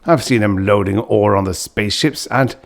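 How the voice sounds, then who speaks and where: A man's voice: on the low side, moderate pace, gravelly. Man putting on a blustering, scornful old grandfather. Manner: blustering, grumbling. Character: cantankerous, scornful old grandfather, suspicious of alien rulers.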